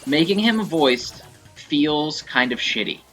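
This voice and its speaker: A man giving casual, rambling commentary on gameplay in an informal, animated way. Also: a little high, slow, faint music behind. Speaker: gameplay showcase host.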